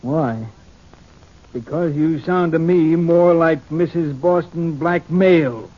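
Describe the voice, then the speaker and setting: A man's voice: a little high, takes it slowly, background noise. Wary, indignant male politician, hesitant and guarded, 1940s radio style, dull vintage sound. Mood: wary, sarcastic. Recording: muffled old 1940s radio recording.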